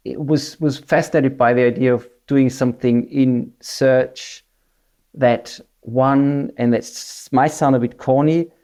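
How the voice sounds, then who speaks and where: A man's voice: medium pitch, mellow. A man from Germany whose English carries an Australian accent, speaking as a company director in a business interview, hesitant and full of fillers and restarts. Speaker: managing director, interview guest.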